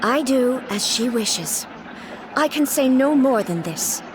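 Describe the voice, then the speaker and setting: A woman's voice: high-pitched, animated, slow pace, background noise. An actress playing a stern female puppet ruler who speaks in a curt, formal and emotionless way in video game dialogue, over game music. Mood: cold. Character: cold stern female puppet ruler guarding her solitude.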